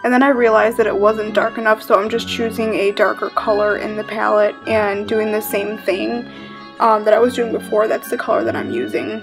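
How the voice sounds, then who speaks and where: A woman's voice: medium pitch, brisk, music in the background. A young woman in her twenties casually talking through a makeup tutorial with frequent fillers. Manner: casual, chatty, relaxed with frequent "um" and "like". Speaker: makeup vlogger. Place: living room with red couch.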